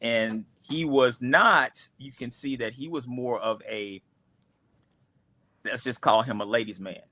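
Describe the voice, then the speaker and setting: A man's voice: mid-range voice, unhurried, pushed and bright, dry recording. A man, the show's creator, talking casually on a cast livestream, often repeating words as he finds his thought. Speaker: creator of the comic series.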